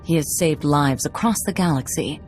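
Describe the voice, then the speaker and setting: An actress playing a loyal, dignified Jedi master in a video game, formal and steady. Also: female voice, deep voice, normal speed, faint music behind, lightly acted. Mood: assured. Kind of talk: cinematic video game acting.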